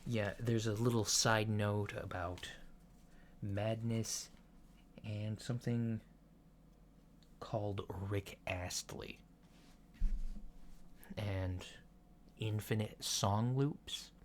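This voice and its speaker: A soft-spoken man doing an ASMR roleplay as a calm, hesitant alien doctor, matter-of-fact and clinical. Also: fairly low pitch, drawn-out words, long pauses. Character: calm, mildly awkward alien doctor giving a checkup. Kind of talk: ASMR medical checkup roleplay. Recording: lo-fi home recording with background noise.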